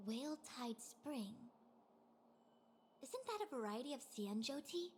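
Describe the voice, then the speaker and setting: A woman voicing a calm, philosophical young woman in a video game, with formal, wry, measured phrasing. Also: high-pitched, a little hiss or hum. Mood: serene. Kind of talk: video game dialogue acting.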